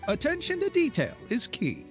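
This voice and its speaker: A woman's voice: high voice, huge pitch swings, moderate pace, soft background music. A woman voicing a small, boastful paper creature in a video game, with a dramatic, grandiose storytelling manner. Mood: careful. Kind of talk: English video game dub acting.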